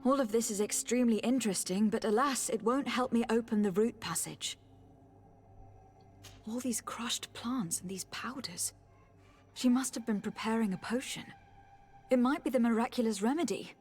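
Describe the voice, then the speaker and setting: A woman playing a courteous, inquisitive noblewoman in a fantasy video game, formal and thoughtful, with a husky voice. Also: on the high side, normal speed, darkish tone, faint music behind. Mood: thoughtful, composed. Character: courteous, inquisitive young noblewoman envoy and adventurer. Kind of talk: video game dialogue acting.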